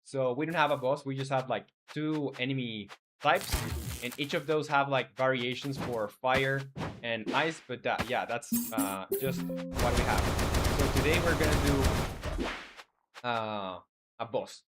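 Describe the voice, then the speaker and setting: Guy streaming his game dev, average pitch, casual and kinda hesitant, chatting with viewers. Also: unhurried, over loud music. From Chile. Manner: informal, hesitant, reads viewer messages aloud and replies. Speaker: game developer live streamer. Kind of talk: casual livestream chat.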